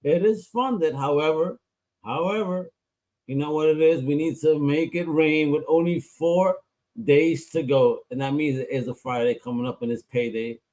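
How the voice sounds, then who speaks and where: A man hosting a podcast in a hype, energetic, slangy way. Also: on the high side, normal speed, rough recording. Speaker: podcast host. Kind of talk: casual chat.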